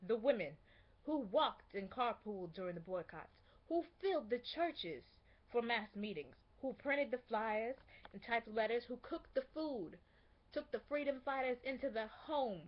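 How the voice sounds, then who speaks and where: A woman playing a dignified, indignant female civil rights activist, delivering a measured, emphatic theatrical speech. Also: a little high, lively intonation. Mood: impassioned. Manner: measured, emphatic, rhetorical, with weighty pauses. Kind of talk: theatrical monologue, oratorical speech. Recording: dull lo-fi amateur recording.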